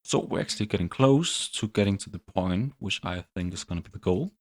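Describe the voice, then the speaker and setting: Man, deep voice, gravelly fry, laid-back coding tutorial, loose thinking-aloud delivery. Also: brisk. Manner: relaxed, thinking aloud with many fillers. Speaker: programming channel host. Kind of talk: casual screen-recorded coding tutorial.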